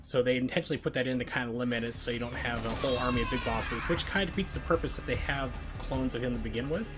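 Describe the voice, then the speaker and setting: A man's voice: medium pitch, quick pace. A man live-streaming a video game, chatting casually with viewers and explaining things as he plays. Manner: conversational, explanatory. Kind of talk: casual gameplay commentary with chat. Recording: game audio and music behind. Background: video game sound and music.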